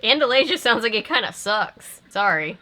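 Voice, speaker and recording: A woman hosting a reaction video, commenting casually with expressive, wry asides. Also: a little high, moderate pace, background noise. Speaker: video host reacting to a film.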